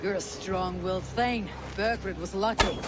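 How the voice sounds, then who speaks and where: An actor playing a calm, firm male Viking warrior leader in a video game. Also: sits high, a little slow, very noisy. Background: game score.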